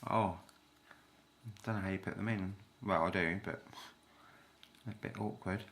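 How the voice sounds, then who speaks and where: A man's voice: low voice, steady tone, a little slow, fairly bright tone. A man talking casually and unscripted to camera as a vlogger, hesitant and thinking aloud as he goes. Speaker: vlogger reviewing a product.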